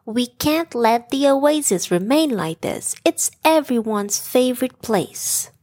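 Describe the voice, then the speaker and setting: A determined, take-charge female hoofed animal from a storybook , put on by a woman narrator. Her voice is fairly high and upbeat, decisive with lively pitch movement. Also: takes it slowly.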